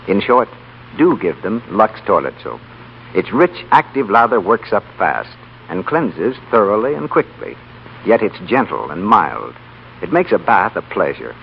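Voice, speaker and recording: A man's voice: low voice, a little hiss or hum. A man working as a 1930s radio announcer, delivering advertising in a polished, persuasive way. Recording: muffled, humming 1930s radio broadcast.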